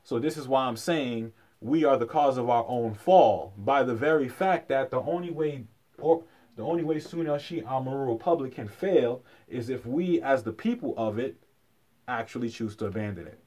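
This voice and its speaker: Male lecturer, mid-pitched voice, colloquial and emphatic, leaning on repetition and rhetorical questions. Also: animated, moderate pace, a little mellow. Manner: emphatic, repeats key phrases for effect. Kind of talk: informal explanatory lecture.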